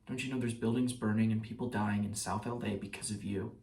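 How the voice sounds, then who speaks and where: A man performing a stage monologue as a shaken, bitter trial juror, speaking haltingly like someone giving testimony. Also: lowish voice, steady tone, darkish tone, a little hiss or hum, lightly acted. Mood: subdued, haunted. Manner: halting, with pauses and repeated phrases. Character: shaken male trial juror, hounded, guilt-ridden and bitter. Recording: roomy echo, distant mic.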